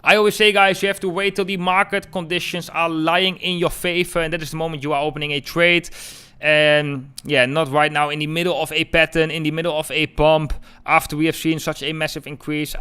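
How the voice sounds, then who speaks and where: Bright-voiced Dutch man hosting a stream. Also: a little high, flat delivery, brisk, smooth. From Netherlands. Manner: chatty, keeps calling viewers "guys". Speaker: crypto trading host. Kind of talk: live-stream chart talk, answering chat.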